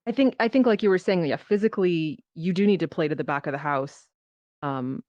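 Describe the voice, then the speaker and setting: A theater maker chatting casually on a panel in a halting, informal way. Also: mid-range voice, darkish tone, no echo. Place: virtual reality event, voice over personal microphone. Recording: dull, lo-fi dry sound.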